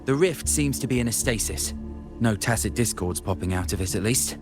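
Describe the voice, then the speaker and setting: An actor playing a businesslike field investigator in a game, speaking in a measured, matter-of-fact way. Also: male voice, lowish voice, animated, natural voice. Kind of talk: game dialogue acting. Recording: music behind the voice.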